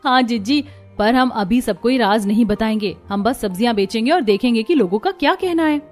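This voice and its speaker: A woman's voice: on the high side, brisk, a little mellow, soft background music, lightly acted. A woman playing a hardworking, earnest young village daughter-in-law in a cartoon.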